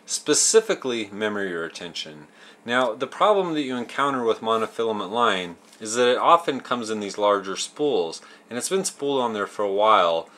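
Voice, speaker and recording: A man's voice: lowish voice, pushed and bright. A man in his thirties narrating a how-to as show host, explaining step by step in plain, folksy words. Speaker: host of a fishing tips show.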